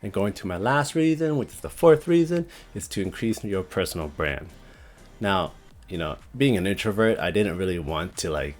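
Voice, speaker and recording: A young man talking casually to the camera about starting a channel, with a deep, monotone voice, rambling with lots of "like" and "you know". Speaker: YouTube creator. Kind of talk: casual talking-head vlog.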